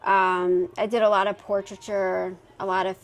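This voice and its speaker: A woman in her forties from Pennsylvania, a firefighter guest chatting casually in a podcast interview, relaxed and quick to laugh, with plenty of ums and uhs. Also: medium pitch. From Pennsylvania, United States. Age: 40s. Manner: relaxed, laughs easily, many um and uh fillers.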